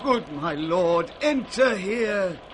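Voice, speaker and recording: High-voiced, devoted royal servant. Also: male voice, expressive, slow. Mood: urgent, pleading. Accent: British. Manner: urgent, respectful. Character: devoted, pleading male servant of the king. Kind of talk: 1960s British radio Shakespeare acting. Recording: noisy 1960s radio recording.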